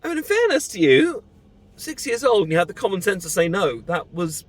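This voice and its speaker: A British man playing an emotional husband leaving an abusive marriage, confiding haltingly in a close friend in an intimate, naturalistic audio roleplay. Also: high voice, sing-song. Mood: amused, nostalgic. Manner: halting, confessional, sighing, informal and sweary.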